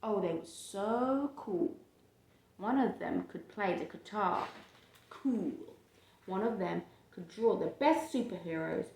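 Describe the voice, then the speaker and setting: A woman reading a picture book aloud to a child and chatting about it, with expressive, drawn-out emphasis on phrases. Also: medium pitch, creaky, slight background noise. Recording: roomy, echoey home recording.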